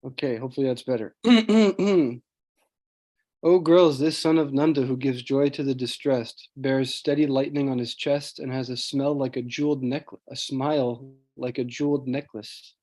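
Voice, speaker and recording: A man leading a scripture class over a video call, reading translations aloud and often clearing his throat, with a low voice. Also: normal speed, a little mellow, no echo. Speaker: class speaker. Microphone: computer mic on a video call.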